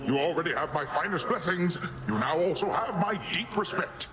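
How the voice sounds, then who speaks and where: A man's voice: on the high side, animated, moderate pace, noisy background, close to an everyday voice. A man acting a boastful, goofy middle-aged sea god uncle in a video game, with a grand, jovial theatrical delivery. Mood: proud, admiring.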